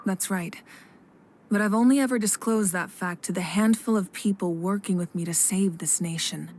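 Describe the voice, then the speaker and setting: A woman's voice: medium pitch, normal speed, darkish tone, natural voice. Composed, commanding woman leader voiced by an actress. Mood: grave. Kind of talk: dramatic video game voice acting.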